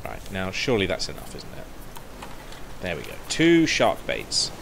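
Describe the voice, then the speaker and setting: A man's voice: low voice, huge pitch swings, normal speed, vocal fry, a bit bright, a little hiss or hum. Chatty man streaming a game. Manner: chatty, talks to himself while playing. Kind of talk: casual gameplay commentary. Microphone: headset. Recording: game music under the voice.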